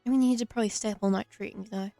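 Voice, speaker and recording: A woman's voice: mid-range voice, steady tone, rapid-fire, gravelly, warm tone, faint music behind. A woman giving casual, wry commentary as she plays through a video game. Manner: wry, dry remarks. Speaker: gaming streamer. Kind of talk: casual let's play commentary.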